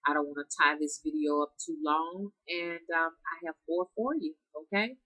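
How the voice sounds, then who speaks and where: A woman's voice: low-pitched, steady tone, moderate pace, bright, forceful tone, dry recording, rough recording. A woman, chatting casually to her viewers in a folksy way, her voice nasal and congested from sinus trouble. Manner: folksy, calls herself Mama, addresses viewers as y'all. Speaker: spiritual practitioner vlogger.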